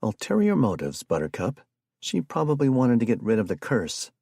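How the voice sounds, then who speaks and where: A man voicing an excitable, geeky young man who rambles in a high, theatrical voice. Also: moderate pace, gravelly, breathy edge, no room sound, studio quality, exaggerated voice.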